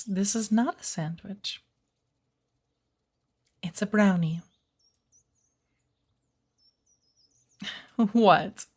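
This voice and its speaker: A woman playing a patient, playful witch of the woods who gently teaches an apprentice in a soft ASMR roleplay, with a breathy voice. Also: medium pitch, close to an everyday voice. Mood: playful, indulgent. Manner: slow, measured, gently instructive.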